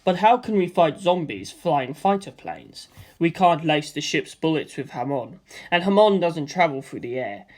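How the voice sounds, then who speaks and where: A woman voicing a cautious, practical man who raises doubts in a measured way. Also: low voice, lively intonation, darkish tone. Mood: doubtful.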